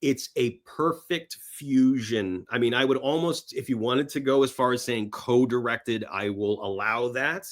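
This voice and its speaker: Male podcast guest, mid-pitched voice, emphatic raconteur casually recounting movie history and acting out quotes. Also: measured pace, hardly any pauses. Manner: emphatic storyteller, often voicing quotes. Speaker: podcast guest, film industry veteran. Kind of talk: casual anecdotal film-history conversation.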